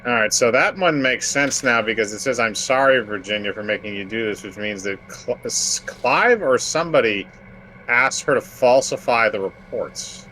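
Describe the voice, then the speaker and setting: A man's voice: mid-range voice, normal speed, sharp tone, a little hiss or hum, rough recording. A man giving casual gameplay commentary, thinking aloud and speculating as he goes. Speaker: gamer.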